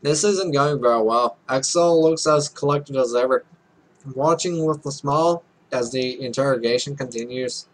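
A man's voice: mid-range voice, moderate pace, poor audio. A young man reading a visual novel aloud as a let's play host, offhand, stumbling over lines and adding casual, sweary commentary. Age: young adult.

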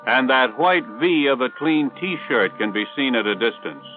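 An American man reading scripted instructional narration for a 1960s military training film in a measured, authoritative way. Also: medium pitch, lively intonation, faint music behind. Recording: tinny band-limited 1960s film soundtrack.

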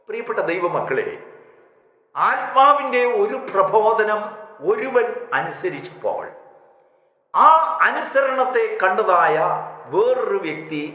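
A man's voice: high voice, bright, forceful tone. A man preaching a devotional sermon, telling stories and exhorting emphatically in short, repeated phrases. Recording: echoey reverberant hall sound.

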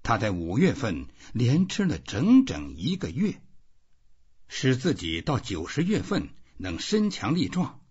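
A man narrating a literary audiobook in an expressive reading style. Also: mid-range voice, unhurried, slightly rough. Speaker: audiobook reader. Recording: dull, muffled lo-fi audio.

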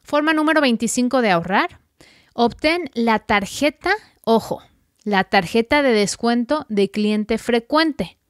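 Podcast host, woman, bright higher voice, dry close mic, chatty tips. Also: expressive, a little slow, no room sound. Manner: friendly, chatty, direct second-person tips. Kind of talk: casual advice podcast monologue.

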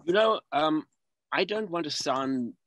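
A man in his seventies from South Africa, a guest on a video call interview, speaking earnestly and halting over repeated starts. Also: fairly high pitch, expressive, fairly bright tone, a little hiss or hum. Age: 70s. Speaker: guest filmmaker. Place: home room.

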